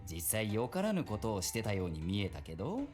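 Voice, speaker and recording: A man voice acting a weary, stern village police officer in a Japanese visual novel, brisk and matter-of-fact. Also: lowish voice, wildly swooping pitch, a little mellow, faint music behind.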